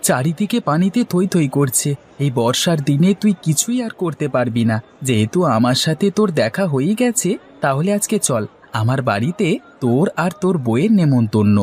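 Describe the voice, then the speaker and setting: A man's voice: a little high, sing-song, moderate pace, a little mellow, a little hiss or hum. A man voicing a poor, hospitable village man in a cartoon, effusive and insistent. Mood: friendly, inviting. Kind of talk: Bengali cartoon story dialogue.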